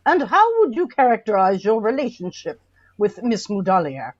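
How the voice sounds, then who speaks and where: A woman's voice: mid-range voice, very animated, moderate pace, no room sound, muffled recording. A woman playing a stern, formal official who questions witnesses at an inquest in an audio drama. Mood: formal, probing. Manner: formal, courtly, commanding.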